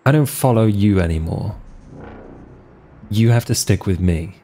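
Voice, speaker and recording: A man playing a weary, defiant vampire wanderer in an improvised tabletop roleplay, blunt and exasperated, with halting delivery. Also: fairly low pitch, warm tone.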